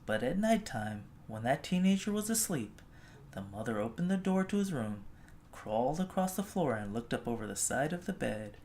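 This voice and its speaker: A storybook reader telling a children's tale expressively, with gentle, drawn-out phrasing. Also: mid-range voice, big pitch swings, slight background noise.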